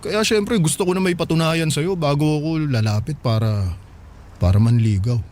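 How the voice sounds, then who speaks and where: A man's voice: a little high, normal speed, a little mellow, natural voice. A man playing a shy, smitten young Filipino market porter in a radio drama, coaxing and pleading. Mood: shy, earnest. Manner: pleading, sheepish.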